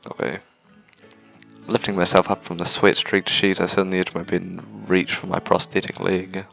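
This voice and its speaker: A man's voice: deep voice, flat delivery, moderate pace, creaky, fairly bright tone, dull audio, faint music behind. A man hosting a gaming video, reading a visual novel aloud with casual asides and stumbling over words now and then.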